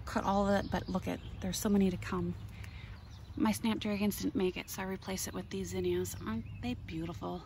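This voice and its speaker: A woman's voice: mid-range voice, steady tone, a little fast, noisy background. Chatty woman touring her garden outdoors. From Minnesota. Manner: enthusiastic, chatty, pointing things out. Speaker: gardening vlogger. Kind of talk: casual garden tour.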